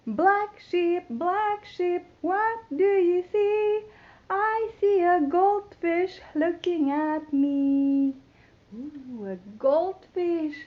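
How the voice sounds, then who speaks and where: A woman hosting a children's storytime, reading a picture book in a playful, expressive sing-song way for very young children. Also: very high-pitched, very slow, highs cut off. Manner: imitates animal sounds.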